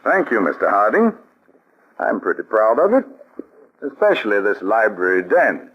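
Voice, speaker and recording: A man's voice: lowish voice, very animated, normal speed, breathy edge. An actor playing a proud, polite male colonel, in 1940s radio acting. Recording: muffled old radio recording.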